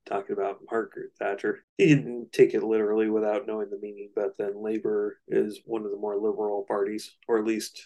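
A man co-hosting a casual music discussion, analytical and somewhat halting as he thinks aloud. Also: fairly low pitch, little pitch movement, warm tone, poor audio. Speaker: co-host of a music discussion show.

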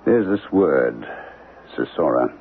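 An actor playing a troubled middle-aged American professor in a radio drama, grave, brooding and halting, with a gravelly voice. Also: male voice, rumbling low, expressive, heavily acted. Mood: haunted, grave. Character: troubled, haunted archaeology professor convinced he is alien. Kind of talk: 1970s radio drama acting. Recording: tinny, hissy old radio broadcast.

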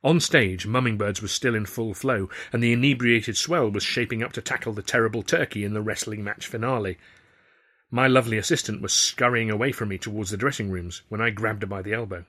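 Husky low-voiced male narrator. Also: a little fast. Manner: wry, lively storytelling. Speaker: author and narrator. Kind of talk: audiobook narration, first person.

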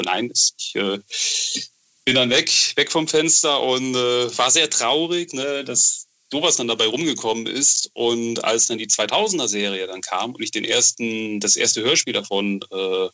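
A man's voice: mid-range voice, bright, forceful tone. A middle-aged man chatting casually and enthusiastically as an interview guest, often repeating the starts of phrases as he searches for words. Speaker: podcast interview guest, novelist.

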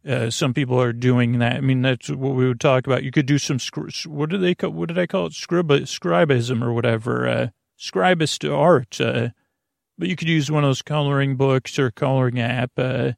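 A gravelly-voiced man hosts a sleep podcast, drifting from thought to thought in a halting, unhurried ramble. He keeps catching and correcting his own words. The sound is dry and close, with no room around it. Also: mid-range voice, fairly even intonation, no room sound. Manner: meandering, halting, self-correcting, drowsy. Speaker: podcast host. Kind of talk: rambling bedtime podcast intro.

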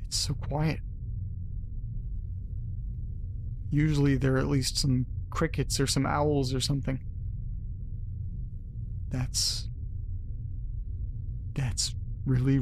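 Groaning, hungover man played by an actor, slow and weary. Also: mid-range voice, fairly even intonation, gravelly, a little hiss or hum. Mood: uneasy. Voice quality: groaning, sighing. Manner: weary, self-pitying, halting muttering. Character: hungover man muttering to himself and his dog. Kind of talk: first-person audio drama monologue.

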